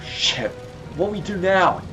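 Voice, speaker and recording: Guy doing whiny panicky young superhero sidekick, swears a lot, slow phrasing, music loud behind him. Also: high-pitched, expressive, dull audio, heavily acted. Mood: panicked, despairing. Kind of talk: comic book dub acting.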